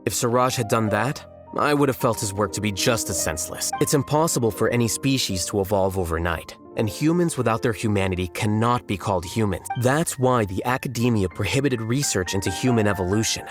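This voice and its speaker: A man playing an aloof, coolly logical young scholar in a video game, speaking in a measured, deadpan manner with dry sarcasm. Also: on the low side, close to an everyday voice. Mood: detached, matter-of-fact. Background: music.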